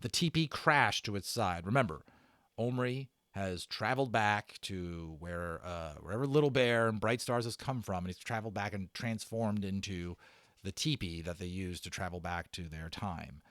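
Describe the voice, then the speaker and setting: A man narrating a children's adventure novel aloud as a dramatic read-aloud, with theatrical emphasis and deliberate pauses. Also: sits low, a bit bright, no room sound, clean studio sound. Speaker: audiobook narrator and channel host.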